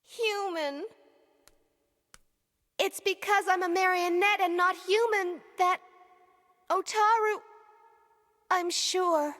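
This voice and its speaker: A woman voicing a naive, devoted android girl in an English anime dub, wistful and halting like a child. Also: extremely high pitch, fairly even intonation, a bit bright, in a large hall, exaggerated voice. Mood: upset, hesitant.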